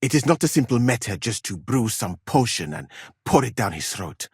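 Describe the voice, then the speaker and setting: Proud exacting game physician, husky voice, precise formal wording, dry close recording. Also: male voice, medium pitch, big pitch swings, normal speed, no echo, full character voice. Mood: indignant, proud. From Mali. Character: proud, exacting foreign male physician in a medieval war camp. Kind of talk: dramatic video game dialogue.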